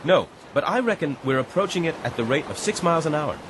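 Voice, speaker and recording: An actor playing an earnest, considerate gentleman castaway in a radio drama, with a mild, hesitant, courteous manner. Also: fairly high pitch, animated, fast talker, close to an everyday voice. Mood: reassuring. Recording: noisy boat and water ambience behind.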